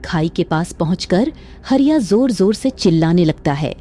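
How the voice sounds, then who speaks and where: A woman's voice: fairly low pitch, expressive, normal speed, dark tone. A woman reading a scripted moral story as a dramatic narrator.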